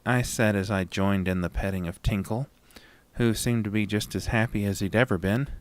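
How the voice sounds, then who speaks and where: Low-voiced man narrating a horror story. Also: fairly even intonation, no echo. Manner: dramatic, measured storytelling. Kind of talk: scripted first-person horror story narration.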